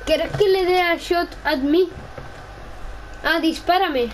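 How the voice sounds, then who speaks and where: Young woman from gaming, high excitable voice, bit of background noise. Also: takes it slowly, low-quality recording. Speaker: gamer. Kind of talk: casual gameplay role-play chat.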